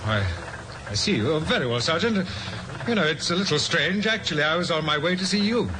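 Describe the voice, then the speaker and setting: A man's voice: on the high side, lively intonation, brisk, natural voice. An actor playing an evasive, affable salesman in his thirties under questioning, hesitant and stammering, in an old radio drama. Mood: affably uneasy. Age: 30s. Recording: noisy old radio recording.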